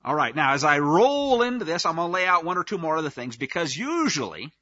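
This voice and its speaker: Male preacher, high lively pitch, folksy sarcastic teaching, dry close sound. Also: brisk, no room sound, muffled recording. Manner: folksy, conversational, sarcastic asides. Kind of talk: informal teaching sermon.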